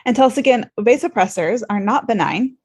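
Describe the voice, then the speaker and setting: A woman's voice: a little high, brisk, a little mellow. A woman hosting a casual podcast interview, speaking in a reflective and encouraging way.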